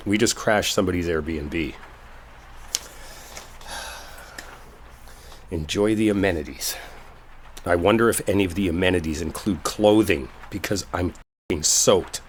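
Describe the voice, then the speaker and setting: Male actor as stubborn, impatient, sardonic man in roleplay drama; lowish, lively delivery, rainy background. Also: slight background noise. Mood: wry, grumbling. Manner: impatient, sardonic, conversational, with mild swearing. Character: exasperated, sarcastic, stubborn man stranded in a rainstorm. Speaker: audio roleplay performer. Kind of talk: dramatic first-person roleplay audio. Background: rain storm ambience.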